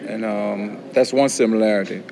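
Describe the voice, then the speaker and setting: A man's voice: lowish voice, fairly even intonation, moderate pace, dark tone, slight background noise. A man thinking aloud as a student in class, colloquial, repeating himself for emphasis. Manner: colloquial, emphatic repetition, frequent "you know". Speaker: philosophy student.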